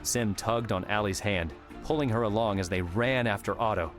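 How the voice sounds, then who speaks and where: A man narrating an audiobook in a steady, measured storytelling voice. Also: on the low side. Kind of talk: scripted narration. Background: music.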